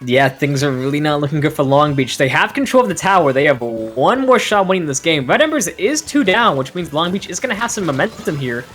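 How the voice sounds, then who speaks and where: A man's voice: a little high, big pitch swings, fairly quick, few pauses, fairly bright tone, soft background music. A man giving analytical esports commentary in a tired, worn-out voice, full of "like" and "I mean". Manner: analytical, filler-heavy with "like" and "I mean".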